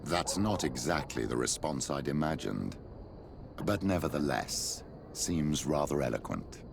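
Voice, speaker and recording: A calculating older priest in a game, with an extremely low, creaking voice. He speaks formally and measures his words, over loud background noise. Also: animated, a little slow, full character voice. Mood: measured, calculating. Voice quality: gravelly, very deep.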